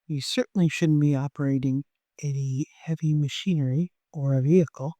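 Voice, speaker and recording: A meditation guide of unclear sex, speaking gently and softly in an unhurried way, with long pauses, leading a guided meditation. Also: mid-range voice, fairly even intonation, no room sound.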